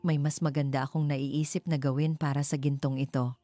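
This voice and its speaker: A calm, resolute heiress addresses her village in an inspirational storybook romance, played by a woman narrator. Her voice is low and mellow, with steady, earnest, generous conviction. Faint music sits behind her words. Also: a little fast, no room sound, close to an everyday voice. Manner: clear, steady, earnest declarations. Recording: music under the voice.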